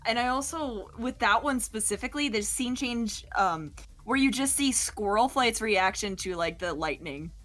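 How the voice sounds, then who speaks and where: A woman's voice: on the high side, expressive, normal speed, fairly bright tone. A woman chatting casually as a cast member on a behind-the-scenes livestream. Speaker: fandub voice performer and writer on a livestream. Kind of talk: casual chat.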